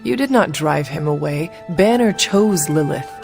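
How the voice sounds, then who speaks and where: A woman playing a stoic, formal warrior hero in a video game cutscene, speaking plainly and gravely. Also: deep voice, expressive, a little slow, darkish tone, exaggerated voice. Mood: grave, firm. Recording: long hall reverb, music behind.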